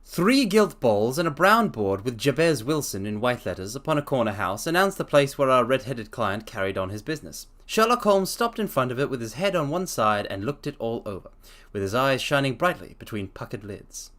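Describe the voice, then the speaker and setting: A man's voice: medium pitch, brisk. Theatrical man reading a classic story. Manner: theatrical, playful, prone to excited tangents. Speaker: streamer reading aloud. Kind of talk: dramatic storybook reading with chatty asides.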